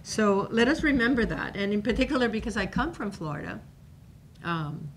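A woman's voice: medium pitch, lively intonation, normal speed, a little hiss or hum. A woman in her sixties, born in Cuba, giving a conversational university talk at a table microphone, with many fillers and restarts. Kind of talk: informal lecture on a panel. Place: university seminar room.

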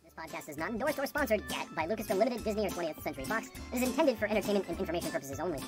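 A woman's voice: mid-range voice, expressive, rapid-fire. A woman announcer reading a scripted disclaimer. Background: music.

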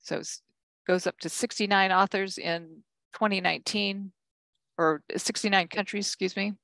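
An older woman giving a conference keynote from slides, measured, with frequent ums and self-corrections. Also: medium pitch, fairly even intonation, fairly bright tone, no echo. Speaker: keynote speaker.

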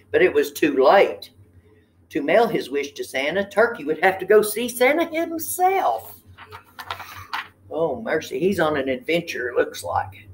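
A woman's voice: fairly low pitch, a little hiss or hum. An older woman reading a children's picture book expressively, with chatty asides and questions to the listeners. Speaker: read-aloud storyteller. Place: indoor room with tiled ceiling.

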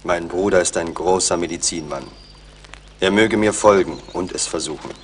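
An actor playing a proud, watchful Huron warrior in a German radio play, speaking in a stately, ceremonious way. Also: male voice, deep voice, normal speed, slight background noise, close to an everyday voice. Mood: solemn.

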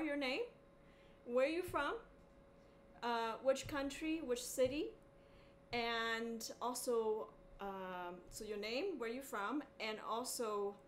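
Woman chatting over clear background noise. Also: sits high. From born in Hong Kong, raised in Boston, USA. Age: middle-aged. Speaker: cellist and teacher hosting a livestream. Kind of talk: casual livestream chat. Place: small living room at home, indoors. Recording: clearly noisy home webcam audio.

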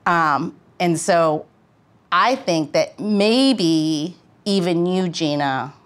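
Woman podcast host, lowish voice, chatty and informal. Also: very slow.

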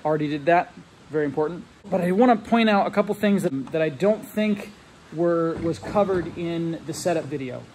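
A man's voice: a little high, moderate pace, a little hiss or hum, low-quality recording. Rambling man talking to his phone camera. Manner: relaxed, rambling, with fillers and restarts, explains technical setup. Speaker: RC plane hobbyist vlogger. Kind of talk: casual walkthrough vlog. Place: large metal hangar, indoors. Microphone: handheld phone camera held close.

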